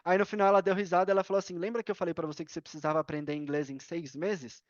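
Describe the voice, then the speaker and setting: A man's voice: high-pitched, racing. Brazilian guy chatting casually on a podcast call, slangy storytelling, a bit muffled, no room echo. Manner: informal, slangy, animated retelling with acted-out dialogue. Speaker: podcast guest. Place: indoors at a computer on a video call. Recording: slightly dull, dry online-call audio.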